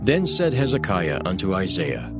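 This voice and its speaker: A man's voice: low-pitched, wildly swooping pitch, moderate pace. A man narrating scripture from a script in a solemn, dramatic storytelling style. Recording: dull sound under loud music. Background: music.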